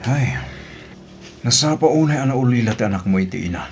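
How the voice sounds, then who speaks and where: A man's voice: medium pitch, lively intonation, brisk, close to an everyday voice. A man with a Filipino accent playing a meddling, advising older kinsman in an audio drama. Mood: sympathetic. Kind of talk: Ilocano audio drama acting. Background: music.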